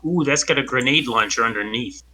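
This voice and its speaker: Male tabletop player, mid pitch, piercingly bright tone, casual chat. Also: a little fast, husky.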